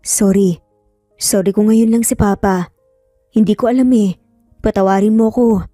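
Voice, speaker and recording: A woman voicing an earnest, remorseful young father with a hushed, emotional Filipino-accented delivery in an audio story. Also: mid-range voice, steady tone, moderate pace, no room sound, clean studio sound, soft background music, close to an everyday voice.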